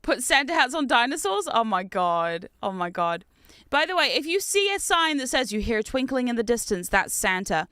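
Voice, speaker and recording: A woman's voice: fairly high pitch, normal speed, clear, resonant tone, no room sound, studio quality. Chatty woman with a Kiwi-British accent. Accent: mix of New Zealand and British. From New Zealand. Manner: chatty, animated, reads chat aloud, laughs often. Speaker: game streamer. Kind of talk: casual gaming livestream chat.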